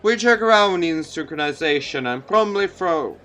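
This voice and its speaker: A man's voice: fairly high pitch, lively intonation, moderate pace, sharp tone, a little hiss or hum. A man reading a visual novel aloud as a let's play, sometimes stumbling over lines and correcting himself. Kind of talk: let's play read-aloud narration with asides.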